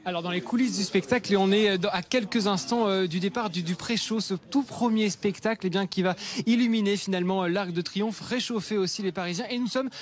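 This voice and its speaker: A man's voice: sits high, brisk. A man reporting live from inside a control room, explaining the setup to viewers. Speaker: field reporter.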